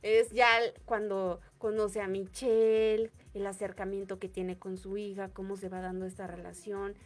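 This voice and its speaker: A woman's voice: medium pitch, flat delivery, moderate pace, soft background music. A young woman with a Mexican accent co-hosting a celebrity gossip radio show, chatting casually and retelling scenes with quoted voices. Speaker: entertainment show co-host. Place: small radio studio booth. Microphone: studio mic on desk stand.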